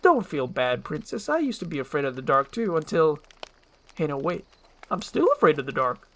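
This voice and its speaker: Woman playing an excitable donkey sidekick, swooping pitch. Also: normal speed. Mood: chummy, casual. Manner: blunt, talkative, exclamatory. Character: excitable, chatty male donkey sidekick, easily spooked. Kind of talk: animated film script read aloud.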